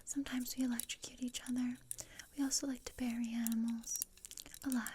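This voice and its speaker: Woman acting gothic teen girl, breathy whisper, higher-pitched, flat delivery, morbid, bossy, condescending, ASMR roleplay, close mic. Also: a little fast, lightly acted. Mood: morbid, deadpan. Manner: deadpan, dry, matter-of-fact. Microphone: close ASMR microphone. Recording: close-up with clear noise and clicks.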